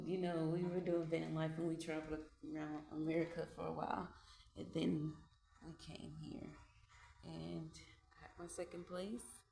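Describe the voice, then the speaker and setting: A woman in her late twenties talking through her thoughts in a loose, rambling way at home, repeating phrases and laughing softly between ideas. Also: low voice. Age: 20s. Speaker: vlogger. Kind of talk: reflective casual monologue. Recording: echoey room with faint ambience.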